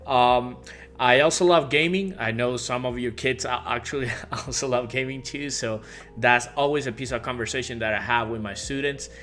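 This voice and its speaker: A man's voice: mid-range voice, moderate pace, a bit bright. A man in his thirties from Puerto Rico, a native Spanish speaker with a Puerto Rican accent, giving a friendly, rambling welcome talk to parents as a teacher, full of fillers and restarts. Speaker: high school teacher and advisor. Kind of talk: casual welcome video for parents.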